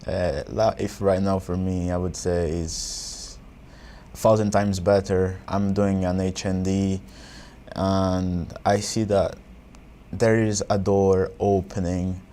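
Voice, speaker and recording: Flat-voiced young man from Angola. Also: low-pitched, a little hiss or hum. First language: Portuguese. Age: young adult. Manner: flat, halting non-native phrasing. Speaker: former mentored student. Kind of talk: interview answers.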